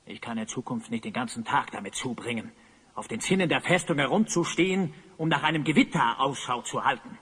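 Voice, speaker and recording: An actor playing a tyrannical, gloating evil sorcerer in an audio drama, pompous and commanding. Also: male voice, mid-range voice, lively intonation, brisk, a bit bright, breathy edge. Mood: menacing, haughty. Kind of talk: German audio drama acting. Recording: long cavernous reverb.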